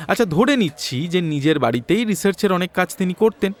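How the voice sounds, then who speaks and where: An actor playing a chatty, deferential Bengali detective who explains things point by point in an audio story. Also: male voice, sits high, lively intonation, a little fast. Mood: animated, explaining.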